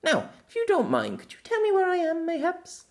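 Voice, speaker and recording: A man's voice: extremely high pitch, huge pitch swings, brisk, pitched above the performer's own voice, full character voice. A man voicing a cheerful, gallant young woman knight with courtly, archaic phrasing in an audiobook. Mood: cheerful, curious. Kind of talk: audiobook character voice.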